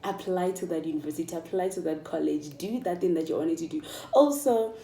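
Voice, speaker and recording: A woman's voice: on the low side, a little fast, mellow, a little hiss or hum. A South African woman in her mid-twenties talking casually and emphatically to viewers, encouraging them and repeating phrases for stress. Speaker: vlogger. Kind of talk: casual motivational chat to viewers. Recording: echoey room, distant microphone.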